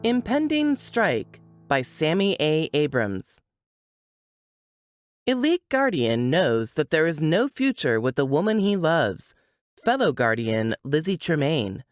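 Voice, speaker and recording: A woman's voice: deep voice, sing-song, slow, no echo, clean studio sound, faint music behind. A woman reading scripted, dramatic book narration.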